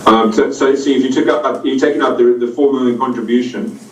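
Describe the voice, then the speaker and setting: A man, an auditor on a remote call into a council meeting, hesitant and halting. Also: mid-range voice, steady tone, echoey room, distant mic, a little hiss or hum, poor audio. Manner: hesitant, many fillers and restarts. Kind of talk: meeting discussion over a call.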